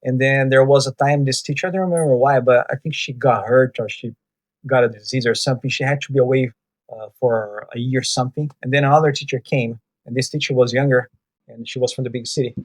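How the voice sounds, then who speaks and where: A man's voice: mid-range voice, fairly even intonation, quick pace, poor audio. Portuguese-speaking male podcast host. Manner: brief, friendly prompts and anecdotes. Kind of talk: conversational podcast interview.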